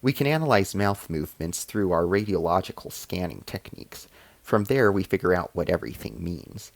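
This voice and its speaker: A man's voice: low-pitched, lively intonation, brisk, no room sound. A man voicing a haughty alien female ambassador in a story, explaining and commanding with cold menace. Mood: cool boasting.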